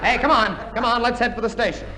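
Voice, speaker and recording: High-pitched brash wisecracker. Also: male voice, steady tone, fast talker, over a noisy crowd. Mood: bustling, cheeky. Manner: exclamatory, mock-incredulous joke delivery. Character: Johnny, brash wisecracking reluctant army recruit. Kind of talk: 1950s radio comedy acting. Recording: noisy 1950s radio recording.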